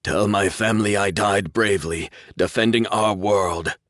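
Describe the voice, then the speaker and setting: A man's voice: fairly low pitch, a bit bright, no echo, studio quality, lightly acted. A man playing a sad dying fighter pilot. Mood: pleading. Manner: slow, pleading.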